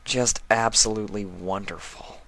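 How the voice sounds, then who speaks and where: A man's voice: lowish voice, steady tone, moderate pace, fairly bright tone. A man voicing a proud, short-tempered archaeologist mare from a story, exasperated and sarcastic as she mutters to herself.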